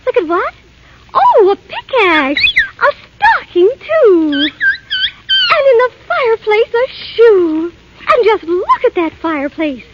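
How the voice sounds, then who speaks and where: A woman's voice: very high voice, big pitch swings, takes it slowly, heavy background noise, heavily acted. A woman playing a sweet, innocent young fairy-tale princess with childlike, theatrical delivery in 1930s radio style. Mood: delighted, curious. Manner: wide-eyed, childlike, theatrical exclamations. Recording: muffled 1938 radio recording with music.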